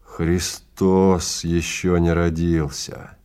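Stern expedition leader, played by a male narrator, low voice, authoritative and emphatic, audio drama. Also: little pitch movement, drawn-out words, darkish tone, smooth. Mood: solemn. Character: stern, commanding male time-safari guide.

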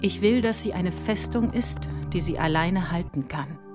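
An actress playing a gravely ill, defiant mother and scholar in a theatrical radio drama, speaking with deliberate intensity. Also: on the low side, natural voice. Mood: grave, resolute. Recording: loud music behind the voice. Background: prominent music.

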